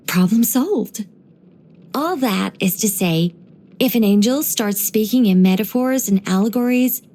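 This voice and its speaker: A woman voicing a gentle, wry former angel turned human storyteller in a video game, musing and lightly teasing in an unhurried way. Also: on the high side. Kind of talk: scripted video game character voice lines.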